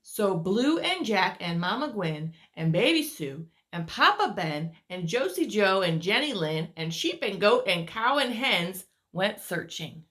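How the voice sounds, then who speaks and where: A woman's voice: mid-range voice, a bit bright. A woman reading a picture book aloud to small children as a teacher would, stretching words for dramatic emphasis and adding asides to her listeners.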